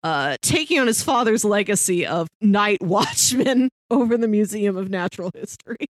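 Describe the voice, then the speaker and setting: A woman's voice: medium pitch, expressive, moderate pace, no echo. Dry-sounding close-up woman, playful movie fan. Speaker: podcast co-host. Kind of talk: casual movie chat.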